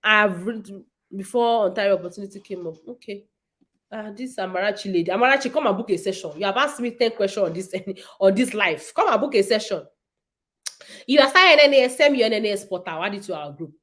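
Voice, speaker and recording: A woman's voice: medium pitch, animated, fast talker, fairly bright tone. A woman, hosting a casual livestream and answering viewers' nursing questions in an emphatic, repetitive way.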